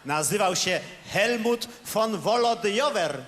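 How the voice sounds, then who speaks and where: An actor playing a boastful, simple-minded little knight colonel in a satirical radio play, bragging in a bright sing-song way. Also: male voice, high-pitched, expressive, unhurried. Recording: old radio recording with room reverb and faint hiss.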